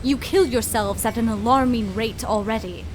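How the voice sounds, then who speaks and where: A woman's voice: a little high, moderate pace, background noise, lightly acted. A woman playing an arrogant, condescending mad scientist in a comedic audio drama, speaking in a grandiose, verbose way. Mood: scornful. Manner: haughty, verbose, theatrical.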